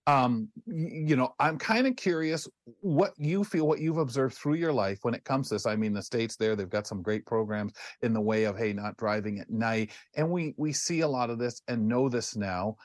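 A man's voice: medium pitch, moderate pace, hardly any pauses, dry recording, clean studio sound. A man hosting a casual panel chat in a rambling, conversational way. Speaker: talk show host.

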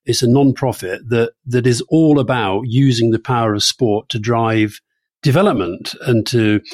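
A man's voice: mid-range voice, moderate pace, no echo. Chatty, teasing man in a dry room. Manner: chatty, teasing, repeats words while thinking. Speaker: podcast co-host. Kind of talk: casual political chat.

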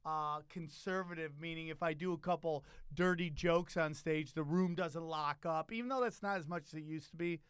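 A comedian hosting a casual interview, rambling and joking loosely with some swearing. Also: male voice, a little high, normal speed, hardly any pauses, fairly bright tone, smooth, dry recording, clean studio sound. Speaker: podcast host, stand-up comedian.

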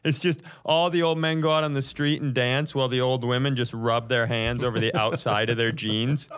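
A man's voice: medium pitch, fairly even intonation, normal speed, fairly bright tone, smooth. A man hosting a comedy podcast, telling a story from notes in a casual, profane way and often chuckling as he goes.

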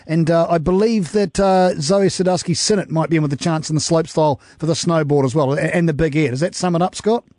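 A man's voice: on the high side, quick pace, hardly any pauses, darkish tone, no room sound, clean studio sound. A man hosting a radio show, asking questions in a casual, chatty way. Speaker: radio host.

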